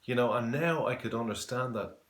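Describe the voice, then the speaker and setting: A man in his forties from Dublin with a Dublin Irish accent, giving an earnest personal faith testimony, halting with many fillers and restarts. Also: medium pitch, a bit bright. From Ireland. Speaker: testimony giver. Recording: echoey room.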